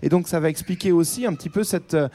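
A man giving a measured, analytical explanation on a podcast, hesitating now and then. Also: a little high, warm tone. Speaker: podcast co-host. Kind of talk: casual history discussion.

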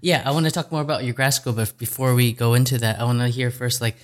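An interview host speaks in an easy, conversational way and keeps up a steady stream of short affirmations. The voice sits at a middle pitch, and the questions are open and friendly. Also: fast. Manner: encouraging, frequent short affirmations. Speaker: podcast host. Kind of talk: casual interview.

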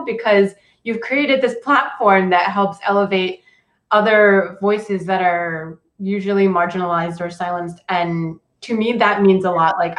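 A woman's voice: medium pitch, highs cut off. Woman guest on a podcast, native cantonese speaker, chatty with lots of likes and ums, roomy echoey sound. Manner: casual, frequent "like" and "um", laughs often. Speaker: author and career coach guest. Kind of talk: podcast interview. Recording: echoey room.

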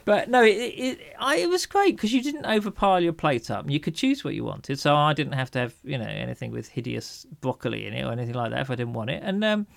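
A man's voice: fairly high pitch, expressive, fast, creaky, hardly any pauses, no room sound. A middle-aged man with an English accent chatting casually as a podcast co-host, with a dryly humorous, mock-grumbling manner. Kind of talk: casual chat.

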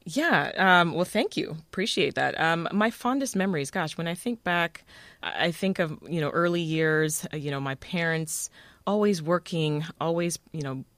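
A woman from Jamaica, raised in Canada, telling personal stories in a casual, expressive, emphatic way as an interview guest. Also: lowish voice, moderate pace, no room sound. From born in Jamaica, raised in Toronto, Canada. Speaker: radio host being interviewed. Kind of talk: casual conversational storytelling.

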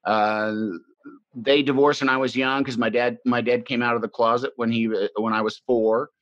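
A middle-aged man from the American Midwest muses aloud in a casual, halting way, circling back and restarting his thoughts. The sound is slightly dull and dry, with no room echo. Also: mid-range voice, level tone, a bit bright. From Illinois, US Midwest. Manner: rambling, halting, frequent restarts, swears. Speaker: podcast host. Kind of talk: casual, reflective conversation. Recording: slightly muffled dry recording.

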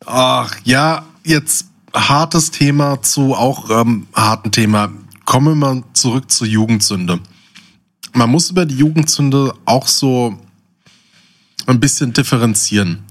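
Man, average pitch, podcast co-host, casual reflective chat, discursive, thinking aloud. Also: slow pace.

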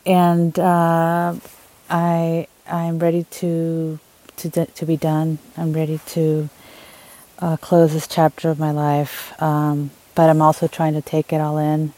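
A woman thru-hiker recording a reflective video diary outdoors, talking in a halting way with many fillers and restarts. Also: sits low, completely flat delivery, warm tone. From Los Angeles, USA. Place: outdoors on a long-distance trail.

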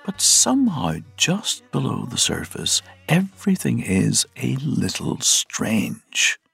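A man's voice: mid-range voice, huge pitch swings, slow pace, vocal fry, a bit bright, husky. A man reading scripted documentary narration as the narrator of a music programme. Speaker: podcast narrator.

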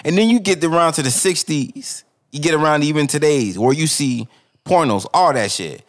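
A man's voice: a little high, lively intonation, moderate pace. A young man co-hosting a podcast in loose, profane casual chat, returning again and again to "you feel me". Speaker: podcast co-host.

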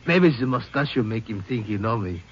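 An actor playing a courteous, evasive Mexican man in a 1950s radio drama, with halting accented English. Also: lowish voice, animated, natural voice. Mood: evasive denial. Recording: muffled, hissy old radio recording.

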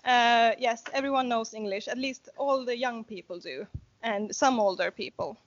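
Woman, slightly high. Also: normal speed, background noise. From Åland Islands, Finland. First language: Swedish. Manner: hesitant, many fillers, laughs easily. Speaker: guest blacksmith. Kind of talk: casual interview chat. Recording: dry, slightly muffled remote audio.

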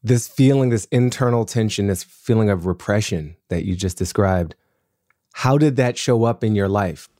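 Mellow-voiced male podcast host. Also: fairly low pitch, moderate pace, dry recording, studio quality. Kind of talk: scripted intro and interview questions.

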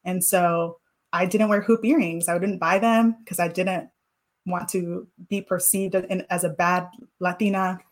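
A woman in her thirties speaks as an interview guest and reflects on her past. Her voice sits on the low side for a woman. She talks thoughtfully and leans often on "like" and "you know.". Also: steady tone, measured pace. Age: 30s. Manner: thoughtful, filler-heavy with many "like"s.

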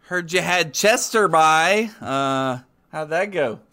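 A man's voice: fairly high pitch, lively intonation, takes it slowly, sharp tone, no room sound, studio quality. A man co-hosting a podcast, teasing the others bluntly in casual banter. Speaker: podcast co-host.

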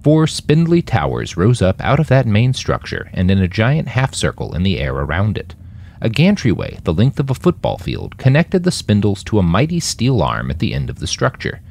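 Low-voiced, highly expressive male narrator. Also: moderate pace, gravelly, a little hiss or hum. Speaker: author and narrator. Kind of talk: scripted fiction narration.

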